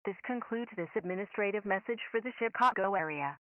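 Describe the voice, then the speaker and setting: A woman's voice: mid-range voice, a little fast, dry recording. Phone-like female emergency broadcast announcer. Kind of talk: formal scripted sign-off announcement. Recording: narrow, phone-like radio audio.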